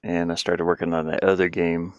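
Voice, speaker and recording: Low voiced guy muttering while he works on his game on stream, super dry close sound, takes his time. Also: flat delivery, fairly quick, dry recording, dull audio. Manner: muttering to himself as he troubleshoots. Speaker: indie game developer streaming. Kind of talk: casual livestream talk while working on a game.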